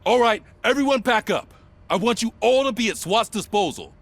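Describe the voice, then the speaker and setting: High-voiced, animated police sergeant. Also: male voice, full character voice. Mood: commanding. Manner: brisk, authoritative, dry. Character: commanding male police sergeant giving briefings. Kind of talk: video game voice acting.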